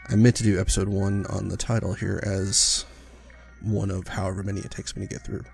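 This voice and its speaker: Gravelly-voiced man, getting over a cold. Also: low-pitched, a little fast, soft background music. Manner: rambling, filler-heavy, relaxed. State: recovering from illness, sniffly, clearing his throat. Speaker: gaming channel host. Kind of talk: casual let's-play commentary.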